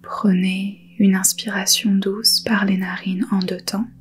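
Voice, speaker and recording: Very slow, soft-voiced woman guiding meditation. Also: mid-range voice, slight background noise. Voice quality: soft, breathy, half-whispered. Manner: calm, soothing, unhurried instruction with pauses. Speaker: yoga nidra guide. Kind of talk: guided meditation.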